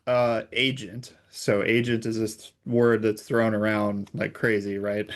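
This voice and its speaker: A man's voice: fairly low pitch, flat delivery, measured pace. A man speaking as an interview guest, giving informal, conversational explanations as a technical expert.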